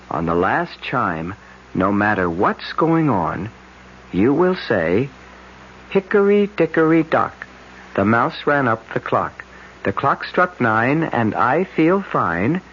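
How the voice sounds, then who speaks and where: A man's voice: mid-range voice, a bit bright, heavily acted. Chill young hypnotist guy, bouncy voice, old muffled radio. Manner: easygoing, soothing. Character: young man, calm amateur hypnotist. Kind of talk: 1970s radio drama acting. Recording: dull old radio broadcast, faint hiss.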